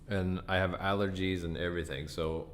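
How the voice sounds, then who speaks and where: Croaky, deep-voiced tired man. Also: slight background noise. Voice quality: croaky, deep from sinus trouble. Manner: relaxed, answers chat questions. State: tired, up a long time. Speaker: livestream trading host. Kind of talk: casual live-stream chat.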